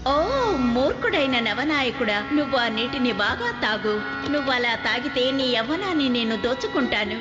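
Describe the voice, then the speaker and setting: A woman's voice: on the high side, expressive, moderate pace, close to an everyday voice. A woman voicing a scheming, commanding five-hundred-year-old witch in a dubbed cartoon, hungry to steal youth. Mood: gloating. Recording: muffled, echoey audio under music.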